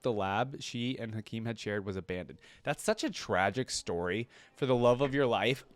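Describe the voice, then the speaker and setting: A man's voice: on the low side, smooth, no echo. A man hosting a reaction video, reading lore aloud and adding casual, rambling commentary.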